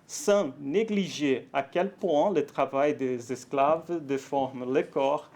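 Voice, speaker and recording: A Brazilian man with a Portuguese accent giving a conference talk in French, halting and careful, with frequent fillers and self-corrections. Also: a little high. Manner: nervous, warm, laughs lightly. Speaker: academic speaker at a conference.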